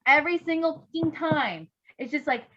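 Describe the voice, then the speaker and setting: A woman's voice: sits high, expressive, fairly quick, dull audio. A woman chatting casually as a co-host, gushing and swearing freely. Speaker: co-host reacting to a story reading. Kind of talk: casual chat.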